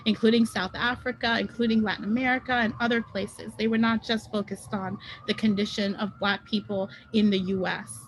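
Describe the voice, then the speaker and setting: A woman's voice: on the high side, a little hiss or hum, rough recording. A woman whose first language is French, speaking as a guest on an online panel webinar in a conversational way with many ums and self-corrections, over a video call.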